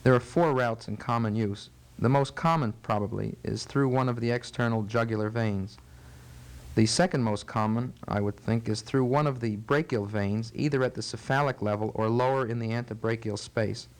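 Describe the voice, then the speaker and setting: A man speaks as a medical expert being interviewed. His delivery is formal and methodical, with carefully built, precise explanations in a measured teaching style. His voice sits at an ordinary male pitch.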